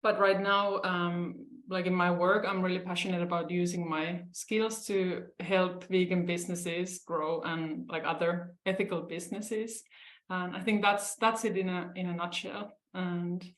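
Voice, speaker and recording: Hesitant Finnish woman, lower voice, roomy. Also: steady tone, measured pace. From Finland. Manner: hesitant, many fillers and restarts. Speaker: podcast co-host. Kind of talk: casual chat.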